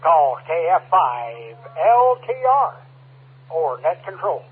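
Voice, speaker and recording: A man hosting an amateur radio check-in net in a folksy, courteous way with formulaic calls, husky, heard over two-way radio. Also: a little high, big pitch swings, slow, a little hiss or hum. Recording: narrowband two-way radio audio.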